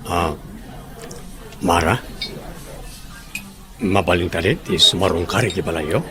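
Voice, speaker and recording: A man's voice: low voice, fairly even intonation, unhurried, noisy background. A man playing an amiable older suitor in a radio drama, speaking with an Ilocano accent. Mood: courting.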